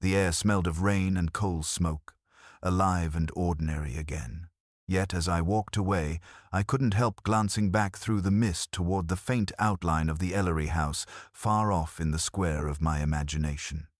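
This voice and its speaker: A man giving scripted, steady narration of a first-person mystery story at a measured pace. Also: low-pitched, no echo, studio quality.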